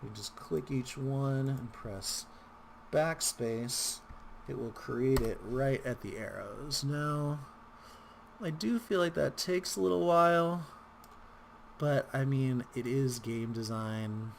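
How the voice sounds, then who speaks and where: A man with a mid-pitched voice and a gravelly, creaky edge talks through a software tutorial. His delivery is informal and rambling, dotted with wry asides. There is some noise behind him on the recording. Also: lively intonation, takes it slowly. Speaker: tutorial host.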